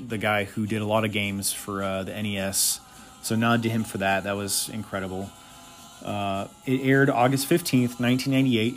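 A man hosting a solo podcast, giving blunt, opinionated reviews with crude humor. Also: lowish voice. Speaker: podcast host.

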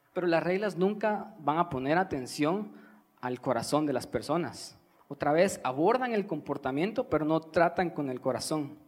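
This is a man preaching a sermon in Guatemalan-accented Spanish. His voice sits a little higher than average for a man. He speaks emphatically, coming back to key phrases to drive them home.

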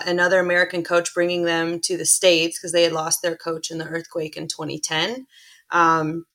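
A young woman, a professional soccer player, chatting casually about her background in an interview, telling stories in a relaxed way. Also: on the low side. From born in Chile, grew up in Illinois and Indiana. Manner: chatty, relaxed storytelling with frequent restarts.

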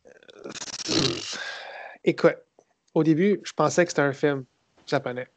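A man with a Québécois accent, co-hosting a casual podcast and describing a film in a sarcastic, rambling, halting way. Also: fairly high pitch, slight background noise. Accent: Québécois French. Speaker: podcast co-host. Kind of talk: casual podcast chat about films.

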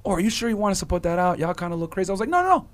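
A man's voice: sits high, sing-song, rapid-fire. A young American man co-hosting a podcast, telling a story emphatically and acting out quotes in a heated discussion. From United States. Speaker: podcast co-host.

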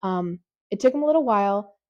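A woman who teaches high school, talking casually about her classes in a mellow voice. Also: animated, brisk, no room sound, muffled recording.

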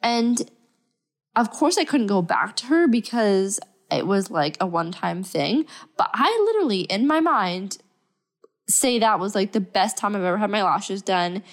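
A woman's voice: mid-range voice, expressive, moderate pace. A young woman hosting her podcast, chatting casually while sick and stuffy, upbeat and full of fillers. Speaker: podcast host. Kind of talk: casual solo podcast talk.

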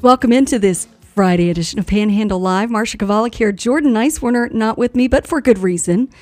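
A woman hosting a live radio talk show in an informal, halting manner, sometimes reading notices aloud. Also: fairly high pitch, a little mellow, soft background music.

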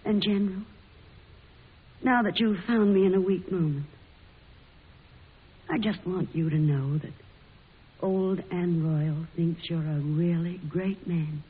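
An old, muffled radio drama recording of a folksy old woman, sentimental and plain-spoken. Her voice is very raspy and slightly low, and she talks slowly and haltingly. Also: fairly even intonation. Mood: tender, confessional. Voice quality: husky, raspy. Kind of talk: 1940s radio drama acting.

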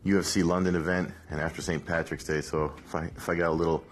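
Hungover man with a low voice. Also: quick pace, slight background noise. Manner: relaxed, rambling, many fillers and restarts. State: hungover, rough voice. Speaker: podcast host, MMA analyst. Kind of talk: casual solo podcast recap.

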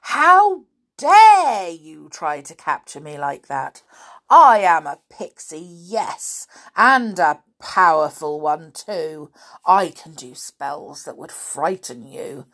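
A woman's voice: low voice, animated, slow, gravelly, heavily acted. A woman voicing a bold, boastful male pixie in a children's storybook, scolding indignantly and emphatically. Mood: indignant, threatening.

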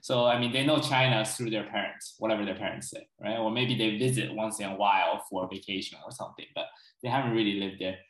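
A man's voice: on the low side, fairly even intonation, quick pace, a bit bright. A young man speaks as an interview guest in a casual, conversational way. He explains at length and keeps checking that the listener is following. His voice carries a noticeable room echo. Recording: roomy echo.

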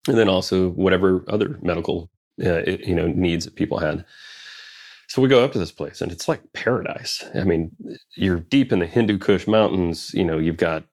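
A man with a very low, deep voice recounts his time in Special Forces. He talks casually and loosely, circling back with fillers and restarted sentences. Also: moderate pace, a little mellow. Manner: rambling, many fillers and restarts. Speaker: guest, Special Forces veteran. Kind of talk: casual interview storytelling.

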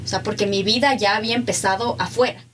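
A woman's voice: mid-range voice, fairly even intonation, fast talker, a bit bright, recorded from a distance. A woman from Ecuador hosting a casual conversation, speaking thoughtfully and asking open questions. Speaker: host.

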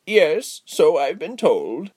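A man voicing a short, kindly old lady with a gracious, unhurried manner, in a storybook reading. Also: on the high side, big pitch swings, a little mellow, breathy edge, dry recording, voice pushed up, full character voice. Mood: pleasant.

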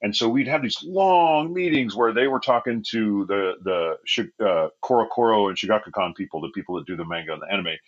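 Husky laid-back man, lo-fi sound. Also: fairly low pitch, expressive, a little fast, hardly any pauses. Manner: laid-back, lots of fillers and laughs, says "man" and "dude". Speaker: podcast guest, game designer. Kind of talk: casual chat.

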